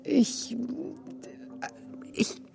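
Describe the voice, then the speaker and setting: An actress in a radio drama playing a wealthy, wounded, vengeful middle-aged woman, intense and halting, with a creaky voice. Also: dark tone, faint music behind. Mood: desperate, pleading. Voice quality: creaky voice, often breaking into whispers.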